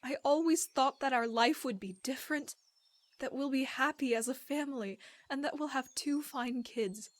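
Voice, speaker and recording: A woman's voice: high voice, normal speed, lightly acted. A woman playing a weary, hurt wife in a game drama, pleading and reproachful. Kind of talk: dramatic game cutscene acting.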